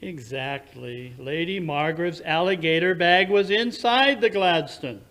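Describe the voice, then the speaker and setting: A man playing a superior, impatient gentleman detective in a radio drama, speaking precisely and with condescension. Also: on the high side, measured pace. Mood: lecturing, superior. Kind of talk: 1940s radio drama acting.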